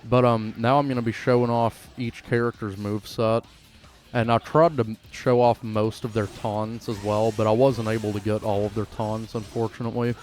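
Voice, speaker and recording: A man gives casual commentary over gameplay. His voice is fairly low and stays very even in pitch, with an informal, unpolished way of talking. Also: normal speed, faint music behind. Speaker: gaming video creator.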